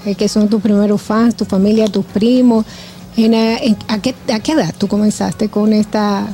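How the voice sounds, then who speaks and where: A woman's voice: medium pitch, fairly even intonation, moderate pace, warm tone. A woman co-hosting an interview, asking the guest questions in a casual way. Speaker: interviewer, show co-host.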